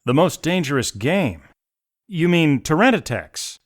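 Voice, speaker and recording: Man as self-assured mercenary, lively intonation. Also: a little high, measured pace. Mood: courteous, curious. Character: polite, inquisitive, self-assured male mercenary guest. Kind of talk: audio drama acting.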